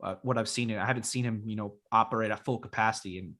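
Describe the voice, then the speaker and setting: A young man co-hosting a casual fantasy football show, his voice raspy from being sick, talking in a relaxed, rambling way with many fillers. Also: on the low side, quick pace. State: raspy after illness. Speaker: podcast co-host. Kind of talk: casual sports chat.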